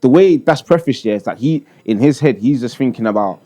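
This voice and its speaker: Quick, pushy man. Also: mid-range voice, lively intonation, warm tone. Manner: pushy questioning, London slang. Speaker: podcast co-host. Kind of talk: casual chat.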